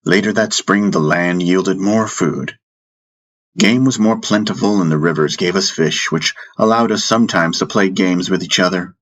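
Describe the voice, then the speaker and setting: A man narrating a first-person fantasy audiobook in a measured way, with dramatic pauses. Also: deep voice, fairly bright tone. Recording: music bed under the voice. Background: background music.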